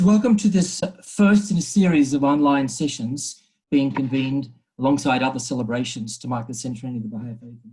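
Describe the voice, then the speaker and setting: A man's voice: medium pitch, dark tone, slightly rough, poor audio. A man hosting an online session on a video call, formal but with frequent uhs. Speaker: host.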